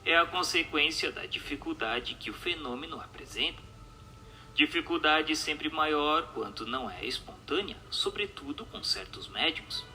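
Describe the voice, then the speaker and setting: A man with a Brazilian accent giving a formal, measured reading of a scripted radio drama. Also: a little high, expressive, bright, forceful tone, a little hiss or hum, rough recording. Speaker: reader of a spiritist radio drama.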